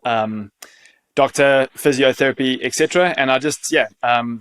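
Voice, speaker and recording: A man's voice: mid-range voice, fairly bright tone, clear, resonant tone. A South African man in his thirties, a chiropractor answering interview questions in a casual, conversational way. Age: 30s. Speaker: chiropractor, podcast guest.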